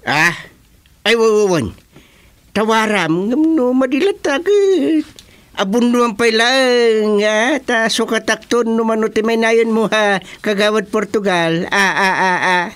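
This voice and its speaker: Actor as slow, stammering village chairman. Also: high voice, fairly bright tone. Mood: hesitant, bargaining. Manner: stammering, repeating words. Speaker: actor in a radio comedy drama. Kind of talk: Ilocano radio comedy drama acting.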